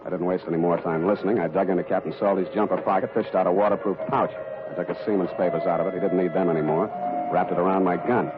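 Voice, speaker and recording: Actor as a fast-talking hard-boiled private eye. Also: male voice, sits low, fairly even intonation, narrow, tinny audio, lightly acted. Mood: tense, narrating. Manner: clipped, wry, tough-guy delivery. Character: hard-boiled, wry male private detective. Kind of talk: 1940s radio detective narration and dialogue. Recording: band-limited 1940s radio recording.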